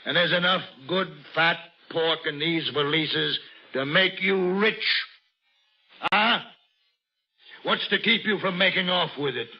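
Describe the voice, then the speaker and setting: Drunken grandiose Frenchman, bombastic, unhurried delivery, old radio play, muffled recording. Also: sits high, husky, soft background music, full character voice. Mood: taunting. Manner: bombastic, declamatory, slow and deliberate. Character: drunken, belligerent, theatrical Frenchman, mocking and grandiose. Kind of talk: old-time radio drama acting.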